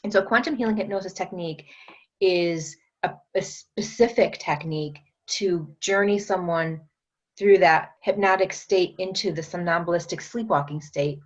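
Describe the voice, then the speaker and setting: A woman guest on a podcast interview, explaining her energy work in an unhurried way, with many fillers and restarts. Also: on the low side, muffled recording. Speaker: guest, metaphysician and energy trainer.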